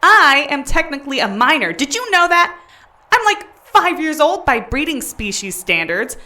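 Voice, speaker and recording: A woman's voice: high voice, big pitch swings, normal speed, bright, forceful tone, full character voice. A woman playing a bratty, defiant young alien twin in an audio comedy, sassy and argumentative. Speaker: voice actor. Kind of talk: audio comedy drama acting.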